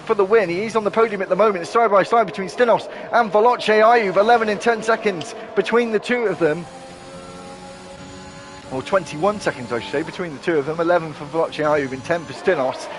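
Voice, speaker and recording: A man giving live play-by-play commentary on an online racing league, excitable and quick to correct himself. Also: high-pitched.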